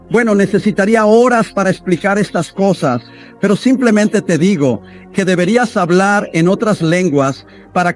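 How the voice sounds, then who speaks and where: A man delivering a sermon as a voice-over, in an earnest, steady preaching manner. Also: high voice.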